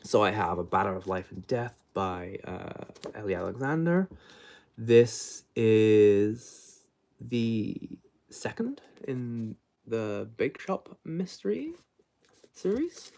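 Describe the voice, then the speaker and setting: A man with a low, creaky voice talks off the cuff like a livestreaming book vlogger. His pitch moves around in a lively way while he rambles, pauses and rephrases himself mid-thought. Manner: rambling, halting, self-correcting, trailing off. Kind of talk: casual unscripted livestream chat.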